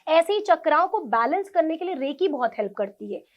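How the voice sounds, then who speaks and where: A woman's voice: sits high, big pitch swings, brisk. A woman speaking as an interview guest, explaining earnestly and instructively, mixing in English words. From India. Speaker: interview guest, tarot reader and Reiki practitioner; government school teacher. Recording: dull lo-fi sound.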